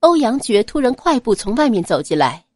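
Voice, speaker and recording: A woman narrating an audiobook in an expressive, scripted storytelling style. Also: a little high, brisk, dry recording, clean studio sound.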